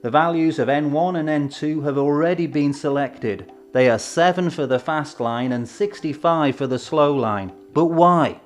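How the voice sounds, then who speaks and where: A man presenting an educational film in a scripted, measured, explanatory way with emphatic phrasing. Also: a little high. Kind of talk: scripted instructional narration. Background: background music.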